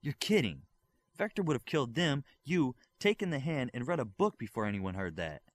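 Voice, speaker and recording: A man's voice: medium pitch, big pitch swings, normal speed, voice pushed up. A man voicing an earnest, blunt young superhero in an audiobook. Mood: urgent.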